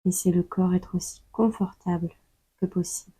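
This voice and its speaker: A woman leading a guided yoga nidra meditation in a calm, soothing, unhurried way, leaving long pauses between instructions. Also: on the low side, breathy edge, no echo, poor audio. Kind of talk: slow guided meditation narration.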